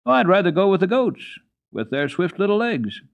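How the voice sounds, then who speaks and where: Storybook child, male reader acting: eager little girl, raised pitch, lively swoops, muffled old radio sound. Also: normal speed, creaky, exaggerated voice. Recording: dull lo-fi old radio recording.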